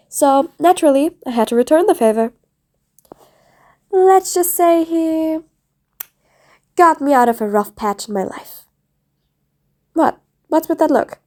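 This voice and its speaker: A woman's voice: sits high, measured pace, pauses often, mellow. A woman playing a mean but patient supervillain in an audio roleplay, sarcastic, dry and exasperated, speaking straight to the listener. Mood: dry, reflective. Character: mean but patient female supervillain, sardonic, grudgingly soft.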